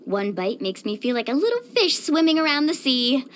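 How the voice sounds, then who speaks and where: A woman voicing an upbeat, caring, sporty young surfer in a video game, speaking in a peppy, expressive, friendly way. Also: high voice, normal speed, a little hiss or hum. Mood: candid, upbeat. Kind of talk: scripted video game voice acting.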